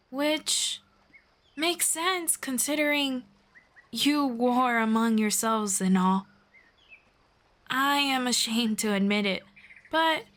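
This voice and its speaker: Girl doing a friendly elf lady for fantasy roleplay, kinda high voice, really slow n gentle, formal wording. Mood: warm, apologetic. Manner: measured, gentle, formal storybook phrasing. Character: friendly, curious female elf of the forest, gently earnest. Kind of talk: roleplay audio drama, speaking one-on-one to the listener.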